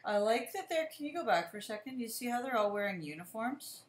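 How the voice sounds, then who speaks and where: A woman teacher hosting a casual chat with a guest over a video call, relaxed and chatty. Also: fairly high pitch, fast talker. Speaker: teacher and show host. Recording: echoey room.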